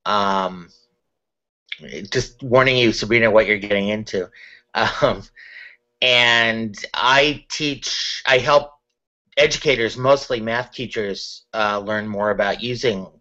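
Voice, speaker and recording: A man who trains teachers in technology, introducing himself unhurriedly with many pauses. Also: fairly low pitch, steady tone, pushed and bright. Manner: unhurried, hesitant with many ums. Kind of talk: casual self-introduction. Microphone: video call. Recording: dull lo-fi call audio.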